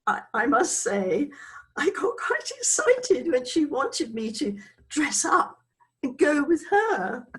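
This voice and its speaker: Chatty older british lady, scatty and rambling, kinda high voice that swoops everywhere, takes her time. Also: very animated, slow, exaggerated voice. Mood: flustered, confiding. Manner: rambling, frivolous, digressive, self-excusing. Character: chatty, scatty older Englishwoman named Isabella, reminiscing. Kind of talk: dramatic monologue, theatrical storytelling.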